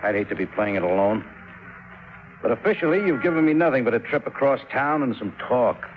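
An actor playing a skeptical, by-the-book homicide sergeant in a 1950s radio drama, with a blunt, terse, grudging manner. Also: male voice, mid-range voice, lively intonation, fairly quick, lightly acted. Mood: dismissive, firm. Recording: muffled, noisy 1950s radio recording.

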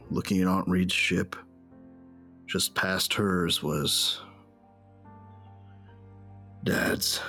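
A man's voice: sits low, creaky, fairly bright tone, heavily acted. An actor playing a grieving, hard-drinking bounty hunter who narrates his story in a subdued, weary, reflective way in an audio drama. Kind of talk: first-person audio drama narration and dialogue.

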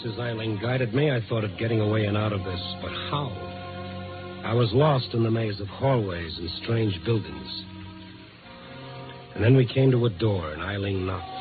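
An actor playing a tough, world-weary Chicago newspaper reporter in a 1950s radio noir drama, clipped and blunt. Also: male voice, on the low side, lightly acted. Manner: hard-boiled, clipped, blunt. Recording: tinny 1950s radio recording. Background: music.

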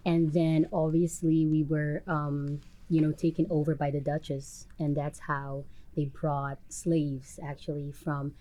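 A woman's voice: low voice, flat delivery, a little slow, hardly any pauses, dark tone, rough recording. A young woman from Curacao, a native Papiamento speaker with a Curacaoan accent, answering interview questions conversationally as a student guest. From Curacao, Dutch Caribbean.

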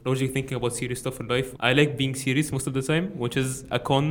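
A teenage boy from Egypt co-hosting a casual podcast chat, talking in an animated way and laughing often. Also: medium pitch, fast, a little hiss or hum. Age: teens. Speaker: podcast co-host.

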